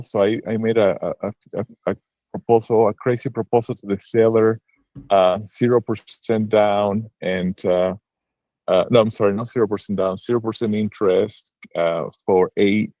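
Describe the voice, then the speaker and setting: A lowish-voiced man from Argentina, speaking on a video call in a dry, close sound. He talks about his work as a broker in a halting way, full of restarts and fillers. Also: warm tone, no room sound. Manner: hesitant, many restarts and fillers. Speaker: real estate broker and investor. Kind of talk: casual livestream call-in chat.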